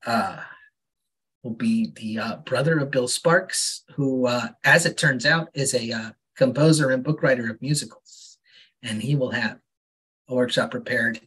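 Husky man making halting announcements. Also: medium pitch, rough recording. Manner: halting, many uh fillers, gentle. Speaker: community workshop organizer. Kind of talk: casual announcement.

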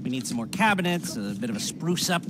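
Game heist boss, played by a man: American, middle-aged, fussy and nervous, swooping delivery over loud music. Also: mid-range voice, sing-song, natural voice. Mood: eager, scheming. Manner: halting, fussy, chuckling awkwardly. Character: nervous, nerdy middle-aged male criminal heist planner. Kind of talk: video game cutscene acting. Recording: music behind the voice.

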